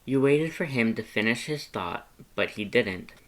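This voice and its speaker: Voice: mid-range voice, expressive, a little slow, a bit bright. A narrator reading second-person fan fiction as a scripted story.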